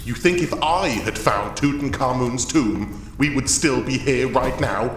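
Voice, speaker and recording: An actor playing a proud, bitter, obsessed expedition leader and husband, delivered as grandiose, theatrical first-person audio drama narration. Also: male voice, medium pitch, a little slow, fairly bright tone, a little hiss or hum, lightly acted. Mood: angry, defiant.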